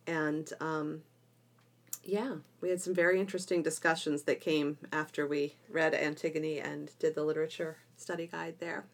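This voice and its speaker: A woman hosting a casual educational podcast, chatting in an animated way and quick to laugh. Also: low voice. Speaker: podcast host and homeschool teacher.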